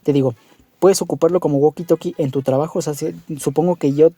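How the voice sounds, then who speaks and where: A man's voice: a little high, steady tone, quick pace, dark tone, no echo. A man narrating a casual app tutorial in an informal, meandering way, often checking in with the listener. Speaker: tutorial host.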